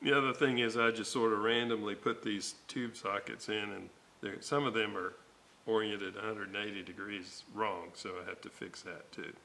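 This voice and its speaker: A man giving an informal repair walkthrough at a workbench, halting and thinking aloud as he works. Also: lowish voice, fairly even intonation, bright, forceful tone. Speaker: host of a radio restoration video series. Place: indoor workbench, hands working on components. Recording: noticeable background noise.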